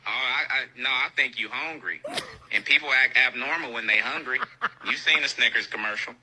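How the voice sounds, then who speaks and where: A man's voice: mid-range voice, brisk, sharp tone, low-quality recording. An American man teasing and taunting someone in colloquial banter, repeating himself for effect. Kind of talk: mocking, teasing street-level banter.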